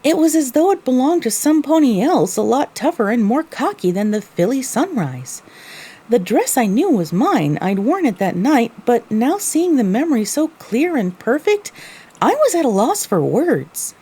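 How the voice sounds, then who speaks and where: A woman's voice: sits high, very animated, normal speed, warm tone, slightly rough, exaggerated voice. A woman playing a young pony mare who narrates her own story wistfully, as scripted audio drama narration.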